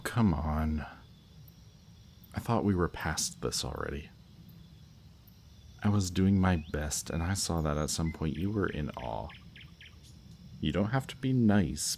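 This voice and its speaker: A man playing a smug, flirtatious villain kidnapper in an intimate roleplay audio drama, talking in a teasing, softly menacing way. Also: low voice, slight background noise. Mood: teasing, coaxing. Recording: outdoor night bird and insect ambience. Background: birdsong and crickets at night.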